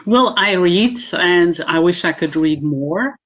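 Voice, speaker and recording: Low-voiced Greek-born woman, measured and hesitant. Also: expressive. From Greece. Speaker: guest, assistant professor. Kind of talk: casual interview answers. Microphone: video call.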